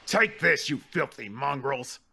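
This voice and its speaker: A man's voice: mid-range voice, lively intonation, measured pace, vocal fry, pushed and bright, breathy edge, exaggerated voice. A man voicing a haughty, godlike male villain who sneers at mortals, refined and scornful, in fan gameplay voice acting.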